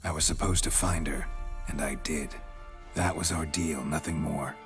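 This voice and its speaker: An actor playing a stoic, cynical monster hunter for hire in a video game, speaking in terse, deadpan sentences. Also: male voice, very deep voice, normal speed, over music. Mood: matter-of-fact. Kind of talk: understated video game acting.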